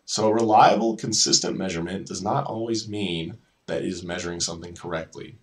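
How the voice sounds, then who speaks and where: Low-voiced man giving a casual lesson. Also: fairly quick, distant mic. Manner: informal, self-correcting, repeats words. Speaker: educational video host reading lesson notes. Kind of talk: casual lecture reading from slides with asides.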